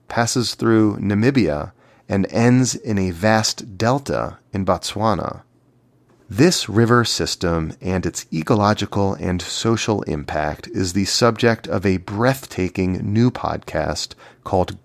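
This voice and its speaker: A man introduces material as a podcast host in a prepared, scripted style. His voice sits fairly low and has a noticeable creak. The sound is dry, with very little room in it. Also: dry recording.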